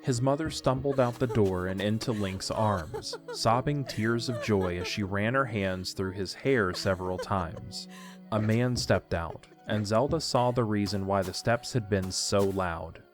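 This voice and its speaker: A man's voice: fairly low pitch, unhurried, music in the background. A man narrating a scripted story for an audio drama.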